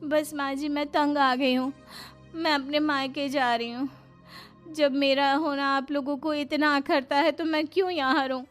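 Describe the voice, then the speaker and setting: A woman's voice: high-pitched, fairly even intonation, a little slow, soft background music. A woman playing a long-suffering, plaintive young daughter-in-law in a cartoon moral story. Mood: fed up.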